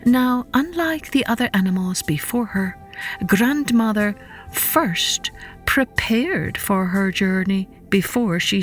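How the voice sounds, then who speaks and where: Female kids' storyteller, unhurried, expressive, dramatic scripted read, light music underneath. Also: a little high. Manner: dramatic, deliberate, with repetition for effect. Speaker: children's podcast storyteller.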